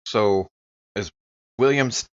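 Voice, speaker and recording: A man's voice: fairly low pitch, slow, dull audio. A man playing a tabletop role-playing game, speaking earnestly and deliberating as he talks in character. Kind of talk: in-character roleplay and game talk.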